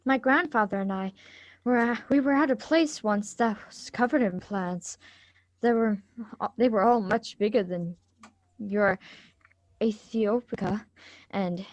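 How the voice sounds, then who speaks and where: A woman's voice: mid-range voice, measured pace, pauses often, no room sound, lightly acted. A woman playing a polite, evasive, bookish schoolgirl in a live radio drama reading, with a hesitant, stammering delivery.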